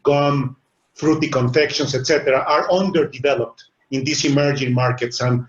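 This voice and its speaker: A middle-aged man with a Mexican accent speaking as a business panelist on a video call, earnest and often repeating small words as he builds his points. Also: medium pitch. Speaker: webinar panelist, business executive. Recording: echoey room over a dull, lo-fi line.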